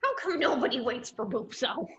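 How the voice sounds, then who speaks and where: A woman's voice: sits high, very animated, moderate pace, a bit bright, breathy edge, full character voice. A woman playing a plaintive, dutiful tag-along companion in a table read over a lo-fi video call. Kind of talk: fan fiction table read. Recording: dull lo-fi video call audio.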